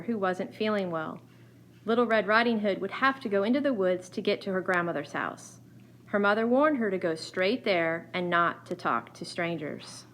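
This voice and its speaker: A woman's voice: mid-range voice, fairly bright tone. Female storyteller for scripted fairy-tale narration, mid register, slight noise behind. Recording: faint background noise.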